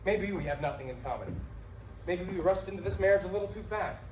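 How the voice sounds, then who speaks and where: A woman's voice: on the low side, expressive, fast, recorded from a distance, natural voice. Sarcastic young lawyer husband, played by a woman: practical, dry, exasperated stage delivery; echoey, slightly noisy room. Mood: exasperated, cutting. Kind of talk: stage comedy-drama acting. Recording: echoey room with background noise.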